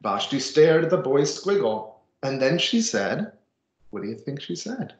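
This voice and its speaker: A man's voice: mid-range voice, sing-song, measured pace, reverberant. A man reading a children's picture book aloud with casual asides, expressively and with dramatic emphasis. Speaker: storybook reader.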